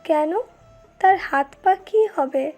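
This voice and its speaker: A woman acts an old grandparent in an audio story, teasing and challenging. The voice is high, soft-edged and drawn out very slowly. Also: lively intonation, heavily acted. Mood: teasing challenge. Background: background music.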